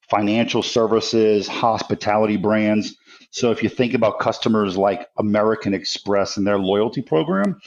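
A man answers interview questions as a guest, chatty and loose, often tagging "right?". His voice sits fairly low. The audio is slightly dull, like a remote line. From New Orleans area, US Gulf Coast. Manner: conversational, many fillers, says "right?". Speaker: guest, tech company executive.

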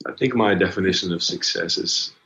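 A man in his twenties, a singer-songwriter guest, talking slowly and casually in an interview, with many ums and likes. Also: low-pitched, vocal fry, pushed and bright, lo-fi sound. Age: 20s.

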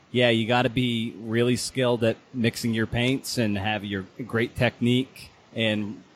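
A man's voice: medium pitch, slight background noise. A man hosting a casual interview podcast, asking long, roundabout questions in a conversational way.

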